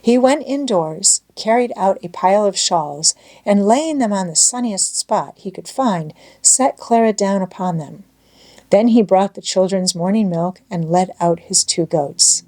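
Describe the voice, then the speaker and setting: A woman's voice: mid-range voice, expressive, a little slow, darkish tone. A woman reading a children's storybook aloud as scripted audiobook narration.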